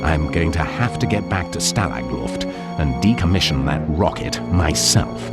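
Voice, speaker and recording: An actor playing an irascible British colonel in a video game, brusque, commanding and exasperated. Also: male voice, rumbling low, lively intonation, normal speed, vocal fry, exaggerated voice. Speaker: imprisoned colonel. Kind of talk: video game cutscene acting. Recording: loud music behind the voice.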